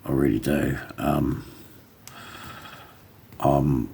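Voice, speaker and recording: A middle-aged man vlogging to his phone, hoarse from a lost voice, rambling and defiant. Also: rumbling low, steady tone, drawn-out words, dark tone. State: hoarse, recovering voice. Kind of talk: casual talk to camera.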